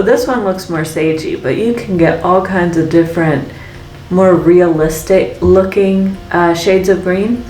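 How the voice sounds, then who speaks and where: A woman painter chats through her work, reflective and rambling, tossing in "like" and "right?" as she goes. Her voice sits a little low and unhurried. It comes across roomy and slightly off-mic, with a faint hum of ambience behind her. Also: a little mellow, far from the mic. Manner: chatty, reflective, rambling with fillers and tag questions. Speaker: artist and channel host. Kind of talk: casual painting vlog talk. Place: art studio, painting while talking. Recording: echoey room, voice off-mic.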